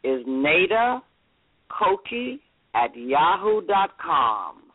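Lively older woman on a tinny line. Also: lowish voice, very slow, husky, no room sound. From Connecticut, USA. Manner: lively, chatty storyteller. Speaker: fashion designer guest. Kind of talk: radio interview. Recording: dry, tinny phone-like radio sound.

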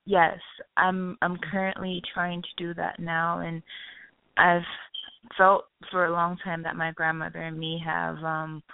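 A woman's voice: fairly low pitch, little pitch movement, unhurried, gravelly, smooth, no room sound. Eager young woman caller on the phone. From Haiti. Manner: eager and rambling, apologetic. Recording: tinny phone line.

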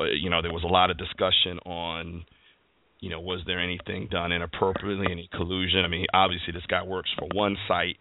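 A man hosting a casual sports chat, rambling with plenty of fillers. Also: sits low. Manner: rambling, filler-heavy, conversational. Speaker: podcast host. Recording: tinny phone-like line.

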